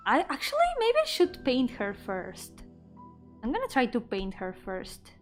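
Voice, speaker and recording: A woman's voice: a little high, wildly swooping pitch, measured pace. A woman chatting casually on an art livestream, thinking aloud in a playful, self-deprecating way. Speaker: VTuber art streamer and game developer. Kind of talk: casual livestream chat while drawing, switching between English and Spanish. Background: background music.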